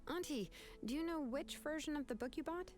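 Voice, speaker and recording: A woman's voice: high voice, fairly quick, lightly acted. A woman playing an easygoing, kind and thoughtful young woman in an anime game, reassuring and considerate. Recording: faint music behind the voice.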